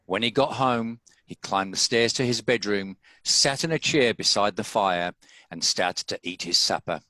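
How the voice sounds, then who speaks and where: Male narrator for a story reading, lowish pitch, bright forward tone, close dry sound. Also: normal speed, no room sound, low-quality recording. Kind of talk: scripted storybook narration in a table read.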